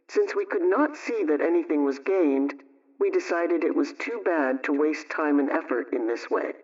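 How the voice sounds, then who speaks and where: A man with a fairly high voice reads household advice from a script, sounding somewhat far from the microphone. His delivery is measured and instructional, and the radio sound is dull and muffled. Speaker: radio homemaking program presenter.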